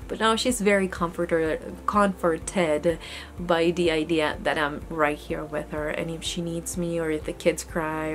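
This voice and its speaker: A young woman talking casually to the camera in an indoor room, chatty and earnest, often restarting her sentences. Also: fairly low pitch. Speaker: homesteading vlogger. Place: indoor room with goat pen, daytime. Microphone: camera close in front.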